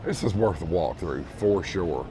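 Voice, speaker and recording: Hoarse deep-voiced man touring a store. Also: animated, measured pace, background noise. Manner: easygoing, repeats praise, addresses viewers as folks. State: hoarse, losing his voice. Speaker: vlog host touring a store. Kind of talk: casual walkthrough narration. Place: large retail store indoors. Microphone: handheld phone camera.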